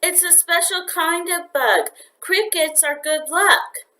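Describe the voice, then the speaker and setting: Woman acting out an eager, high-pitched pleading boy. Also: slow pace, bright, forceful tone, exaggerated voice. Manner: earnest, anxious. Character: eager, pleading young boy attached to his pet.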